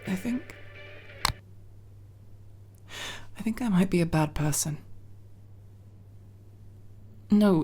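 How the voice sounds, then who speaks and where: A young woman playing a guarded but tender tomboy friend in an ASMR roleplay, speaking intimately and haltingly, with sincere, teasing, casually sweary delivery. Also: lowish voice, mellow, breathy edge, heavily acted. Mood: guilty, confiding. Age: young adult. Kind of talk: ASMR roleplay, intimate one-sided dialogue.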